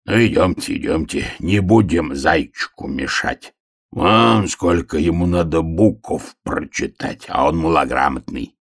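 Guy doing sly storybook wolf, real low n gravelly, fake polite, wheedling, stretches words out. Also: full character voice. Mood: smug, coaxing. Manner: unctuous, wheedling, drawn-out words. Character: sly, menacing male wolf, mockingly polite predator. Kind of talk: storybook character reading.

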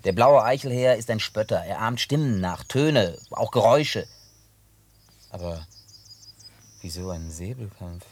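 Blunt, low-voiced country man. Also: animated, measured pace, long pauses. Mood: puzzled, prickly. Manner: blunt, colloquial, teasing. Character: gruff, wary, plain-spoken American country man. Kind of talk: naturalistic German radio play acting.

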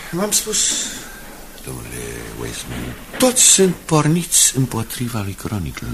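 Obsequious business aide, very low, creaky, hugely varied intonation, radio drama, faint tape noise. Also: male voice, huge pitch swings. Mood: ingratiating. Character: deferential, scheming male business aide.

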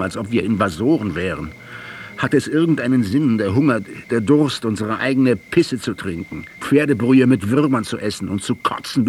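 A man's voice: lowish voice, slight background noise, natural voice. An actor playing an anguished, disillusioned guerrilla fighter in a radio drama, impassioned and accusing toward his commander.

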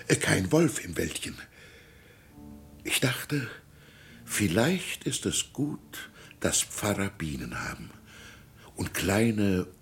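An actor playing a frail, gentle old village pastor in a radio drama, anxious and musing, hesitant and sometimes sinking toward a whisper. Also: male voice, low-pitched, slight background noise, full character voice. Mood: wistful. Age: older. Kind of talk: German radio drama acting.

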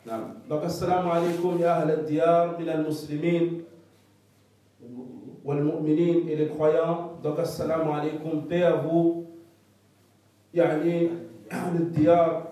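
A man's voice: a little high, mellow, heavy reverb, far from the mic, poor audio. A man whose first language is not French, giving a religious lesson that relays a scholar's answers, and often stopping to search for the right French words. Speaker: religious teacher.

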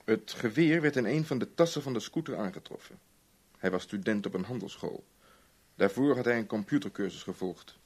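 An actor playing a sly, urbane chief inspector in a radio play, breezy and teasing in manner. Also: male voice, lowish voice, a little fast, slightly rough. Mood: confiding, measured. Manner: breezy, teasing, evasive old-boy chattiness. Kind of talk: Dutch radio play acting.

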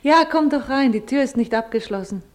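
A woman in a German radio play acting a weary, fragile married woman with a breathy, husky voice, gentle yet haunted. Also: sits high, a little fast, close to an everyday voice. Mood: tired, kindly.

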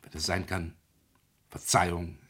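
A grave lawyer in a radio play. The voice is low and rather husky. He speaks formally and solemnly, as a troubled go-between for a family. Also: level tone, unhurried, fairly bright tone, full character voice. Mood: solemn, pleading. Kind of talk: classic German radio drama acting.

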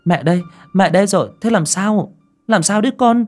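Guy doing a panicky young mom, shrill and high, firing off worried questions, soft music behind. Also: moderate pace, natural voice. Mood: frantic.

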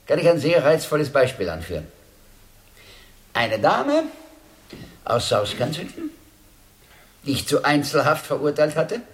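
A man acting an eccentric, urbane former judge who muses aloud with deliberate hesitations in a radio play monologue. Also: medium pitch. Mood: amused, confiding. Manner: deliberate, musing, with hesitations and rhetorical pauses. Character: eccentric former judge, urbane, reflective, quietly amused at himself. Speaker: radio drama actor.

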